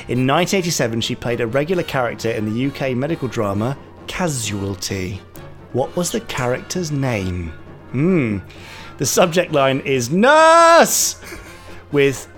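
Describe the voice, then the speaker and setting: A man co-hosting a podcast, reading a listener's letter in a playful, giggly way. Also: mid-range voice. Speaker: podcast co-host.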